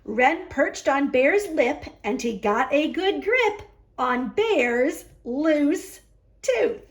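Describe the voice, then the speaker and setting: A woman reading a picture book aloud for a children's story hour, expressive, with drawn-out emphasis on key words. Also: high-pitched. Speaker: library story hour reader.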